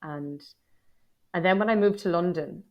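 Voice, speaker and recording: Dublin irish woman, thirties, low-pitched, candid, self-deprecating, chatty guest. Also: normal speed, muffled recording. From Ireland, Dublin. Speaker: guest, poet. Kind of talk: casual interview chat.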